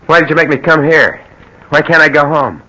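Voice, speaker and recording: An actor playing an anxious, guilt-ridden reluctant accomplice in an old-time radio crime drama, pleading and agitated. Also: male voice, fairly high pitch, brisk, sharp tone, poor audio. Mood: stricken, anxious. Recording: vintage radio drama recording.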